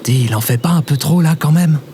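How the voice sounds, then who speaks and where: Male actor for sarcastic audio-drama adventurer; casual, grumbling, fairly high male register over noisy ambience. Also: brisk, natural voice. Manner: colloquial, wry, hesitant.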